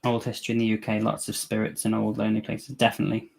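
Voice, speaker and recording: A man's voice: lowish voice, monotone, very fast, creaky, slightly rough. A man with a Scouse accent hosting a live movie watch-along, chatting casually and joking as he answers viewer comments. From England. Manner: jokey, chatty, reacting to the film and reading viewer comments. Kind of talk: casual live-stream chat.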